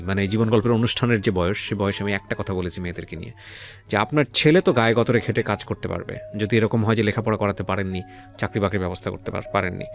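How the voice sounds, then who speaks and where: A man with a Bangladeshi accent hosting a radio interview, putting questions to a guest and speaking to listeners. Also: on the low side. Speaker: radio show host and interviewer. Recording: faint music bed under the voice. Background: soft background music.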